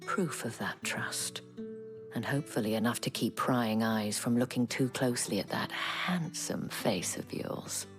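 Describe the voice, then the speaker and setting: A poised, mature brothel madame in a game cutscene. Her voice is extremely low for a woman, refined and deliberate with a teasing edge. Music plays softly behind her. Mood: businesslike, concerned. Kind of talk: video game cutscene acting.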